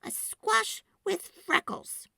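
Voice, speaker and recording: A woman's voice: takes it slowly, sharp tone, no echo, studio quality. A woman voicing an earnest, stubborn, devoted little girl in a storybook, with heartfelt, hesitant phrasing. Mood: indignant. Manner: hesitant ums and huhs, heartfelt.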